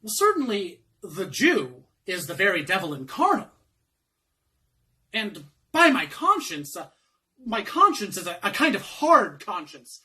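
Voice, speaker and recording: A man's voice: high-pitched, huge pitch swings, a little slow, bright, forceful tone, far from the mic, heavily acted. A man playing a comic, dithering young servant in a Shakespearean monologue, theatrically arguing between temptation and conscience. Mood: gleeful resolve.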